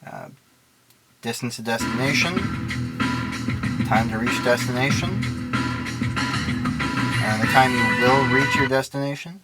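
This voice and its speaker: A man talks through a product demonstration in an ordinary mid-pitched voice. His delivery is casual and unscripted, explaining each step plainly and matter-of-factly. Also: fairly even intonation, measured pace, long pauses, over loud music.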